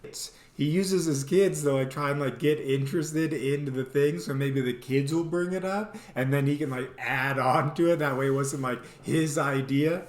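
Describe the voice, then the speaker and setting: Animated man telling stories in echoey room. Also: fairly high pitch, normal speed, few pauses. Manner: animated, rambling, mimics people in exaggerated voices. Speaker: storytelling vlog host. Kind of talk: casual comedic storytelling, acting out quotes. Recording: roomy, echoey sound.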